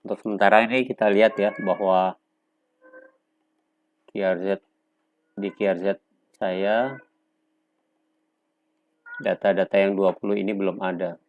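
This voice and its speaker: Low-voiced man giving a tutorial. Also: steady tone, phone-quality sound. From Indonesia. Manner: instructional, halting, pausing between short phrases. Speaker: software tutorial presenter. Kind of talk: unscripted step-by-step screen demonstration.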